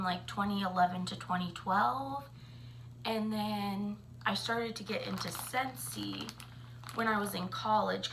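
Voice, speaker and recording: Chatty slow-talking woman, husky. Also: mid-range voice, a bit bright, a little hiss or hum, poor audio. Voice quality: slightly raspy, morning voice. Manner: chatty, rambling, many ums and likes. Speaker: vlogger. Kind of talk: casual chat to camera.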